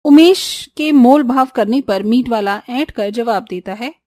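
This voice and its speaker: ऊँची, मुलायम आवाज़ वाली महिला कथावाचक. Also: female voice, fairly high pitch, measured pace, dark tone. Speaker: narrator. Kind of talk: scripted cartoon story narration.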